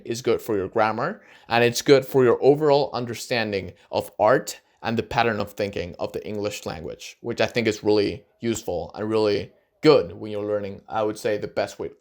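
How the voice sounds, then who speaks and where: Earnest young man giving advice. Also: on the low side. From Argentina. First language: Spanish. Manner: earnest, encouraging, sometimes slow and stressed. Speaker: vlogger. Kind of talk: casual advice talk to camera.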